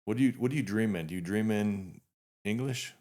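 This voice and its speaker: A man in his fifties hosting a casual podcast interview, talking in a relaxed, rambling way with frequent restarts. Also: lowish voice, brisk. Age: 50s. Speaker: podcast host.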